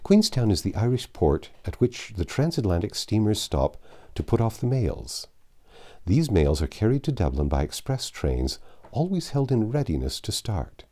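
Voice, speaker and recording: Man reading as a composed, low-voiced English gentleman. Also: moderate pace. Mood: calm. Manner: calm, formal, direct.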